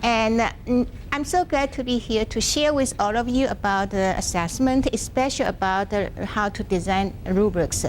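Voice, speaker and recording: Soft-spoken woman with a bright pitch. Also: slight background noise, rough recording. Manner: halting, frequent restarts and fillers. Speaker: workshop presenter. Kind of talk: academic workshop lecture. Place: university classroom, seated mid-room. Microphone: no microphone, room sound.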